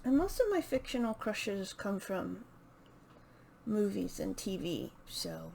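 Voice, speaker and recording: A woman chatting casually and unscripted as a book vlogger, hesitant and halting as she thinks aloud. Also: medium pitch, very animated, vocal fry, a little mellow, slight background noise. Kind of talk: casual unscripted chat to camera.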